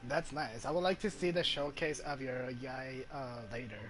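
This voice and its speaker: A man's voice: mid-range voice, normal speed, over music. A man streaming a game, commenting casually as he plays.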